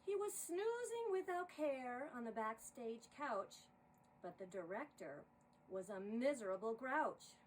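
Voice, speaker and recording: A woman narrating a rhyming story in an expressive, theatrical style. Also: on the high side, unhurried. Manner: dramatic, expressive. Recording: distant mic with faint noise.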